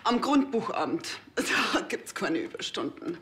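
An actress playing a defensive, newly widowed housewife in a TV crime comedy, speaking colloquially. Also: female voice, medium pitch, very animated, takes it slowly, fairly bright tone, a little hiss or hum, heavily acted. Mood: defensive, uneasy. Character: defensive housewife, newly widowed, insisting all was fine.

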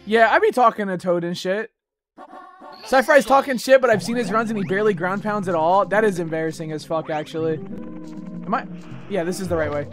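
A man in his twenties streaming a game, joking crudely with friends and yelling at his own mistakes. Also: high voice, fairly quick. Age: 20s. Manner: crude, joking, sudden frustrated outbursts. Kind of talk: casual gaming stream chat. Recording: game music playing behind. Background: game music.

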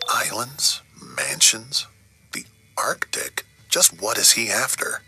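Video game detective-hero: serious, probing, lowish and very sharp, with lively pitch. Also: male voice, slow, breathy edge, in a whisper, heavily acted. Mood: puzzled. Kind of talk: video game character acting.

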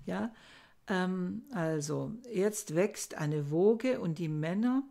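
An older woman delivers a lecture from a book-lined study at home. She speaks very slowly in a low, gravelly voice. She often hesitates and searches for words as she explains. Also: lively intonation, dark tone. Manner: slow, thoughtful, with frequent hesitations. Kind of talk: recorded lecture reading and explaining old verses. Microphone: webcam at desk.

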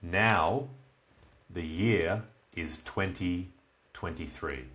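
A man in his twenties with an Australian accent, teaching beginners in very slow, simple, repeated phrases. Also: sits low, fairly bright tone. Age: 20s. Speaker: English teacher. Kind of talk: slow comprehensible-input lesson for beginners. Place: small plain room indoors.

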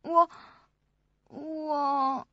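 Shrill, terrified, tearful young woman. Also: steady tone, no room sound. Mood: nervous, hesitant. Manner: halting, pleading, sobbing. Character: terrified, tearful young female employee confessing. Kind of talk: suspense radio drama acting. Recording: dull audio under loud music.

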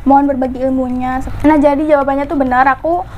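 A woman's voice: sits high, steady tone, fast talker, mellow, a little hiss or hum. A woman in her twenties from Central Java, chatting casually with her viewers and answering their questions on a clip-on mic at home. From Central Java, Indonesia. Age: 20s. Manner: chatty, often tags lines with "guys". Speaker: mukbang vlogger. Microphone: clip-on lapel mic.

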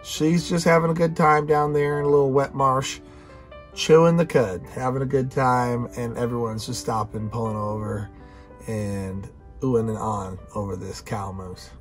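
A man's voice: mid-range voice, little pitch movement, normal speed. American man chatting casually, music behind. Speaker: vlog co-host. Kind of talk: casual chat. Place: inside a small pop-up camper.

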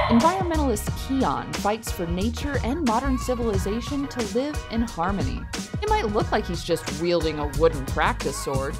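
A woman reading scripted promotional narration as a narrator. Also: medium pitch. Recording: loud music under the voice. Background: loud music.

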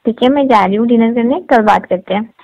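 A woman's voice: on the high side, brisk. A woman chatting casually on a recorded phone call in a playful, teasing manner.

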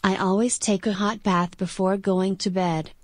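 A computer-generated woman's voice reading short practice sentences, even and measured. Her pitch is mid, and the sound is close and dry, with no room around it. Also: no echo. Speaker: app voice reading example sentences.

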